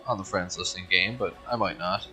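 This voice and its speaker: A man's voice: low voice, brisk, over music. A man streaming a space game, chatting casually in a laid-back, agreeable way. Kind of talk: casual gameplay stream chat.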